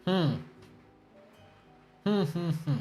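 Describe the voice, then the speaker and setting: Rambling, profane male streamer, high voice. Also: lively intonation, very slow, warm tone, soft background music. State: groggy from a hangover. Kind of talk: casual livestream chat reacting to news.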